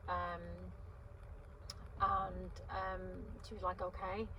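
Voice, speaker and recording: A woman's voice: lowish voice, level tone, takes it slowly, pushed and bright, low-quality recording. A woman in her fifties, originally from Wales, chatting casually to camera from inside her parked van, rambling with lots of ums and restarts. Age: 50s. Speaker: vlogger. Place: inside a parked van by a river on a windy day. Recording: wind noise in a parked van. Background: gusting wind outside.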